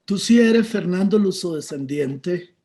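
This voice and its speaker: A man from Táchira, Venezuela, moderates a panel discussion over a video call. His voice sits fairly high but sounds mellow and soft-edged, and he asks his long questions in a relaxed, conversational way. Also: unhurried.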